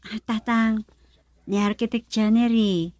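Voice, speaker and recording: High-voiced, polite young woman played by an actress. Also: fairly even intonation, unhurried, a little mellow, dry recording, natural voice. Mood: polite, shy. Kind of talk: radio drama acting.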